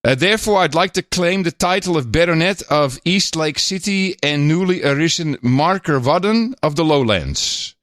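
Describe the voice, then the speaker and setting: A man's voice: fairly high pitch, moderate pace, few pauses, no echo, clean studio sound. A man co-hosting a talk podcast, chatting casually, reading donor notes and joking around. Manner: animated, laughing, playful mock accents. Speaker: podcast co-host.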